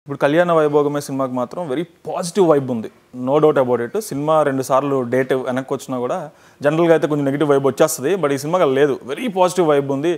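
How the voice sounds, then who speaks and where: Fast-talking male interviewer with a Telugu accent. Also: fairly high pitch, fairly even intonation, darkish tone. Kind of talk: casual promotional film interview, mixing Telugu and English.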